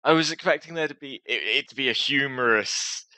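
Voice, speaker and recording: Bright-voiced man rambling casually to viewers. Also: medium pitch, a little fast, dry recording, poor audio. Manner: self-deprecating, hesitant. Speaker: gaming video creator and host.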